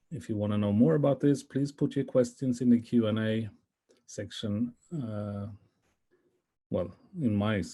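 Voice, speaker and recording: Mellow-voiced male session moderator. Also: medium pitch. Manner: chatty, polite, a little rambling. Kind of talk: live online conference moderation.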